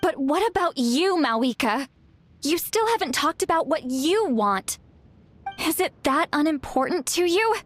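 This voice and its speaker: High, expressive young female friend. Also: unhurried. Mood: worried. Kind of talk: scripted video game voice acting.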